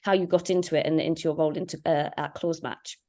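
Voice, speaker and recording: A woman's voice: sits low, steady tone, fast, mellow. A woman hosting a podcast interview, asking open questions in an encouraging way with frequent fillers. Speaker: podcast host. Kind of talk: conversational interview.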